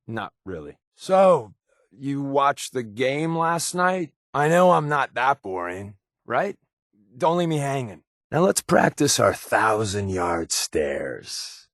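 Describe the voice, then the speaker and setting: Game companion role: wisecracking secret agent man, average pitch with very lively inflection, dry sarcastic humor. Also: creaky, dry recording, exaggerated voice. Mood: teasing, deadpan. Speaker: video game companion character. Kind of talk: game voice acting.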